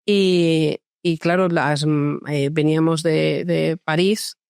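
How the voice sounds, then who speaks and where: A woman psychologist born in France, telling her story in a relaxed interview with many hesitations and restarts. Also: low voice, takes it slowly, creaky, smooth, no room sound, clean studio sound. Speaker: guest psychologist. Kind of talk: conversational interview.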